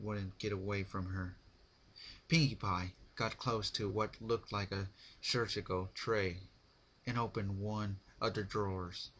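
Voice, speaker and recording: Slow, raspy male horror narrator. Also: lowish voice, fairly bright tone. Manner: halting, stumbles over words. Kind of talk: dramatic reading of horror fan fiction.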